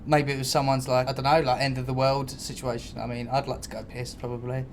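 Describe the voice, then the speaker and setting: A man's voice: mid-range voice, flat delivery, fast, a little hiss or hum. A man with a British accent hosting a casual, improvised podcast, chatting in slangy, sweary language. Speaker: podcast host.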